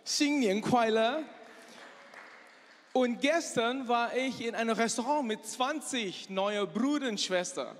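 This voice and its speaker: A man gives a sermon full of personal stories. His voice sits high for a man. He moves in short, halting phrases, correcting himself, and long hall reverb trails his words. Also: animated. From Malaysia. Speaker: preacher. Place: large church hall, live before a congregation.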